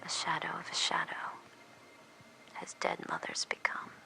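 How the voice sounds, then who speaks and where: An actress playing a bereft captive mother, narrating her thoughts in a breathy whisper for an audio drama. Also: moderate pace, pushed and bright, soft background music, low-quality recording. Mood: desolate. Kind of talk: dramatic first-person narration.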